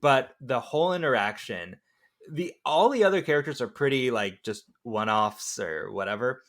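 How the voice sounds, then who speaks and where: A man in a casual film discussion, talking in a joking, animated way. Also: mid-range voice, normal speed.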